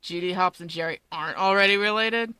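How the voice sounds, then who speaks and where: A woman voicing a gruff, bratty teenage boy who is curt, grudging and insulting, in a story reading. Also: lowish voice, brisk, pushed and bright, no room sound. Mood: snide.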